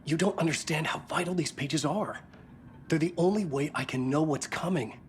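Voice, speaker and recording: A man's voice: a little high, moderate pace, lightly acted. Man playing a haunted writer, over background noise. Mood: anxious, pleading. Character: troubled, haunted male writer, earnest and pleading. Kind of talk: cinematic video game acting.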